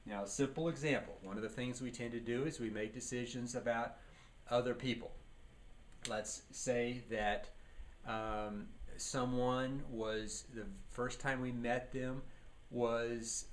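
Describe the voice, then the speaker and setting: Male trainer for a seminar lecture, medium pitch, didactic and emphatic, slightly noisy recording. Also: steady tone, a little slow. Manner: didactic, poses questions and answers them. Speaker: leadership trainer.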